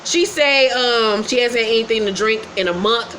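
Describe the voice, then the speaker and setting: A woman's voice: fairly high pitch, normal speed, fairly bright tone, slight background noise, poor audio. A woman hosting a casual gossip recap, blunt, sassy and profane. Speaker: host.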